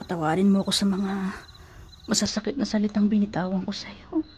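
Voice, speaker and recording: A woman's voice: medium pitch, fairly even intonation, a little fast, a little mellow, slight background noise, close to an everyday voice. A woman with a Filipino accent acting a superstitious, emotional older sister who pleads earnestly in a radio drama. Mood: remorseful.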